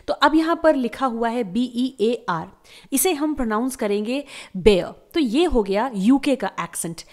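A woman teacher, patiently explaining word meanings and repeating words slowly for learners in a voice-over lesson. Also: on the high side. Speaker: English teacher. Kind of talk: explaining lesson to camera viewers. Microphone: voice-over on slides.

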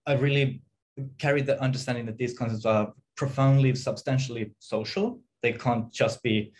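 A man's voice: medium pitch, steady tone, normal speed, darkish tone. A man, thinking aloud and often rephrasing as he puts a question in an academic seminar. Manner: reflective, hesitant, rephrasing often. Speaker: academic, audience member asking a question. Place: indoor room on a video call. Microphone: computer or headset mic on video call.

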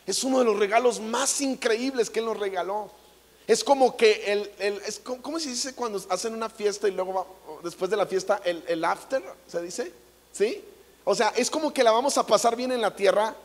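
Brief: jokey Mexican preacher, high-pitched man, long hall reverb. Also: moderate pace. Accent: Mexican Spanish. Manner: playful, jokey, acts out quotes. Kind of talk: comedic stage sermon. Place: large theater stage. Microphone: handheld mic.

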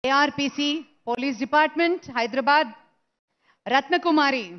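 A woman announcing recruits' names one by one at an award ceremony, in a slow, measured way. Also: high-pitched. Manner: slow, measured name-calling into a PA. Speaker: event announcer. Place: large stadium ceremony. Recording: dull lo-fi broadcast sound.

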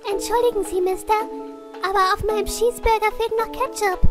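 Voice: extremely high pitch, fairly even intonation, a little fast. A performer voicing a cute, polite little cartoon mascot creature with a chirpy, cutesy delivery. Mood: politely puzzled. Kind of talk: cartoon fandub. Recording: children's music behind the voice.

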